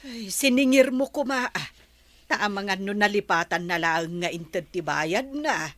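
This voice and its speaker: A woman's voice: mid-range voice, lively intonation, measured pace, dry recording, close to an everyday voice. A woman playing a nosy, chatty village neighbour in a radio comedy drama, with an Ilocano accent. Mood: indignant, advising.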